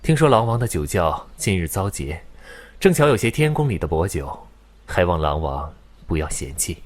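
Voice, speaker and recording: A melancholy celestial prince speaks low in a husky voice. He is restrained and formally polite, a lovelorn noble from a costume radio drama. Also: very animated, moderate pace. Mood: formal, subdued. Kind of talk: Chinese costume audio drama acting.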